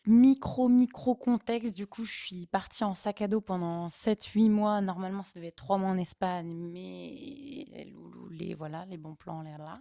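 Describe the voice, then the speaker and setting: A woman's voice: medium pitch, moderate pace, creaky, few pauses, mellow, no echo, clean studio sound. A young woman from northern France, casually telling travel stories with chatty, laughing asides. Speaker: vlogger. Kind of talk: casual storytelling.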